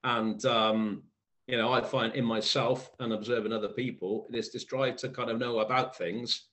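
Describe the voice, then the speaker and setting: A man from South Wales with a faint Welsh accent, a poet guest talking slowly and thoughtfully, often pausing and restarting to find the right word. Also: medium pitch, steady tone, fairly bright tone. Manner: thoughtful, hesitant, searching for words, many restarts. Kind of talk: reflective conversational interview.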